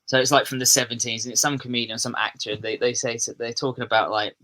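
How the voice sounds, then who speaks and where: A man with a southeast English accent chats loosely as a podcast guest, trailing off and restarting his sentences. His voice sits at a middling pitch with a clear, bright tone. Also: little pitch movement, quick pace. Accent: English, southeast England. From England. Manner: rambling, restarts sentences, self-deprecating humour. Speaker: podcast guest, metal musician. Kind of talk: casual podcast chat.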